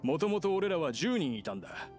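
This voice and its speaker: Blunt mine foreman, slightly high-pitched. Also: very animated, brisk. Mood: concerned, matter-of-fact. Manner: blunt, casual working-man speech. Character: plain-spoken, worried middle-aged male mine foreman. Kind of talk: anime-style video game dialogue. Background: background music.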